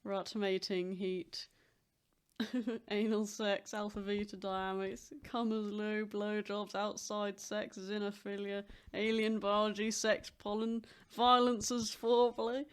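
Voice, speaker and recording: A woman's voice: mid-range voice, level tone, takes it slowly, clear, resonant tone. A woman hosting a podcast, chatting casually in a blunt, sweary manner. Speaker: podcast host. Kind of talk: casual chat.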